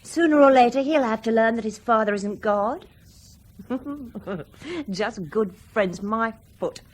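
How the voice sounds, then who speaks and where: An actress playing a pilot's brittle, plain-spoken wife in a radio drama, with a husky voice. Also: female voice, fairly high pitch, takes it slowly. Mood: scornful, flippant.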